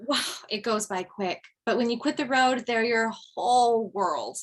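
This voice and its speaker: A woman's voice: on the high side, a little slow. A woman reading the part of a wistful widowed mother and former comedian at an online table read, hesitant and wry. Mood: surprised, wistful.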